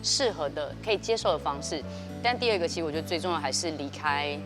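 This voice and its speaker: A Taiwanese woman in her thirties explains things quickly and fluently in a notably low voice. Music plays clearly under her in a TV studio. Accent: Taiwanese Mandarin. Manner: fluent, measured explaining with fillers. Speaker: guest, dance company artistic director. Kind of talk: interview answer. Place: indoor TV studio set.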